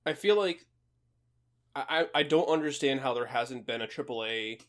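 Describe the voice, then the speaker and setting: A man's voice: medium pitch, normal speed, fairly bright tone. A man co-hosting a podcast in casual banter, blunt and joking, with a profane streak. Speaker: podcast co-host.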